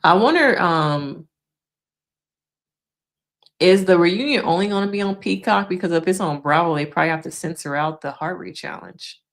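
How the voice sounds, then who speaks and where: Woman, live-stream host, slightly low pitch, casual chatty, frank opinions, laughs a lot, losing her voice. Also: expressive, moderate pace, vocal fry. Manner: chatty, frank, laughs often, addresses viewers as y'all. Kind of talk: casual live-stream chat reacting to viewer comments.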